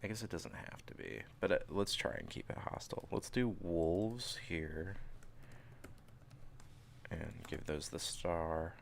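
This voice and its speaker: A man's voice: sits low, lively intonation, unhurried, creaky, slight background noise. A sniffly man in his thirties, thinking aloud as he plans a tabletop game setting live from a small home room. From St. Louis area, USA. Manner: rambling, thinking aloud, self-interrupting. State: sniffly from a cold. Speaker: streamer. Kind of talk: casual livestream worldbuilding. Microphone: desk microphone in front, webcam.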